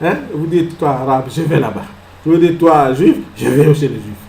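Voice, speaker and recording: A man preaching a sermon as pastor. He fires rhetorical questions, moves between soft asides and loud exclamations, and acts out little scenes. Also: a little high, moderate pace, gravelly, echoey room. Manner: acts out little scenes and laughs at his own jokes.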